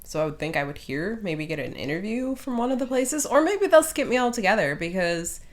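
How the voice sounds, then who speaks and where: A woman's voice: mid-range voice, sing-song, fairly quick. A middle-aged woman co-hosting a podcast in casual conversation. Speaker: podcast co-host. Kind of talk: casual chat.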